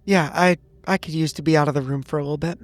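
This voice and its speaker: A man's voice: a little high, steady tone, fast talker, no echo. A man playing a federal agent in a tabletop game, giving brief, clipped in-character replies.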